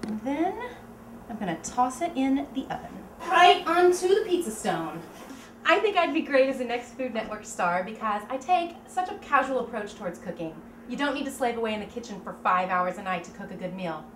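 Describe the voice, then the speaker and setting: A woman's voice: fairly high pitch, sing-song, moderate pace. A woman in her thirties from Oklahoma, cheerfully talking a home cooking demo to camera in her kitchen. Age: 30s. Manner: chatty, bubbly, explains as she cooks. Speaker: home cook auditioning for a cooking show. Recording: echoey kitchen with faint room noise.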